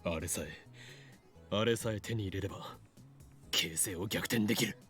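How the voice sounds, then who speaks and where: A man's voice: on the low side, quick pace, a bit bright, natural voice. A man voicing a melodramatic young self-styled mad scientist in a visual novel, theatrical and brooding. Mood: grave, urgent. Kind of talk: Japanese visual novel voice acting. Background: game music.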